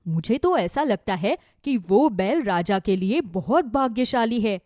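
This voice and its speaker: A woman voicing a chatty, inquisitive village wife in a cartoon moral story, expressive and gossipy. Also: on the high side, measured pace, no echo, studio quality. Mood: curious, gossipy. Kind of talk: Hindi animated moral story dialogue.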